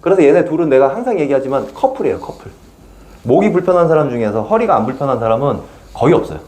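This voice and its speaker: Mellow, animated doctor explaining neck pain. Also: male voice, medium pitch, quick pace. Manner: explains muscles and exercises in plain terms, agreeable backchannels. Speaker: pain clinic doctor, show host. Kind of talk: explanatory health talk with patient.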